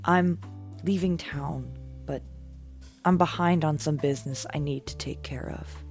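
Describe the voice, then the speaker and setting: An actress playing a guarded, weary young vigilante superhero in an audio drama, speaking in a subdued, deliberate way. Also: female voice, low-pitched, darkish tone, soft background music. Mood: serious, weary.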